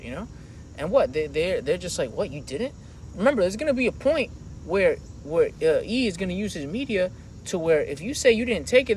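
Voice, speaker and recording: A man preaching outdoors on a city street, animated and slangy, calling listeners man and brother. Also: sits high, a little hiss or hum, poor audio. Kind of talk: impassioned outdoor street teaching.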